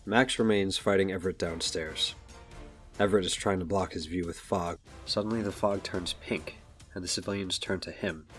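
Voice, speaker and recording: A man with a very low voice narrates a superhero audio drama. He reads scripted action scenes over faint music. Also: steady tone, normal speed, a bit bright.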